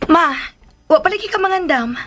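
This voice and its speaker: An actress with a Filipino accent playing an eager, coaxing young daughter in a radio drama. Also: female voice, very high voice, lively intonation, brisk, over music. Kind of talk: Philippine radio drama acting.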